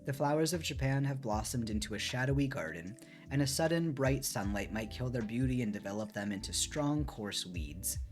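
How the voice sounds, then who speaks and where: A gentle, wise mother from a storybook, voiced by a man, offers counsel in measured, poetic phrases. The pitch rises and falls expressively, with faint music underneath. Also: close to an everyday voice. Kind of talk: storybook read-aloud.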